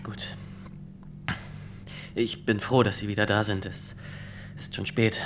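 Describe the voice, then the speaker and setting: An actor playing a stiff, suspicious inspector in a radio play, speaking formally and with control. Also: male voice, on the low side, flat delivery, takes it slowly, noisy background. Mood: stern, controlled. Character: stiff, suspicious young male inspector of a totalitarian regime.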